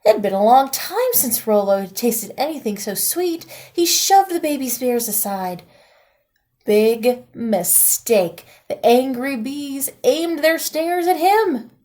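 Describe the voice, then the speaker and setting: A woman teacher reads a picture book aloud to children, taking her time with each line. Her voice sits a little high, and her delivery is slow and expressive. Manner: slow, expressive storytime reading.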